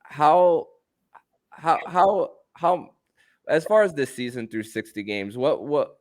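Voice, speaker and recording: A man hosting a sports podcast, who tends to speak haltingly and repeat words as he frames his questions. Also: a little high, big pitch swings. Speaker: podcast host.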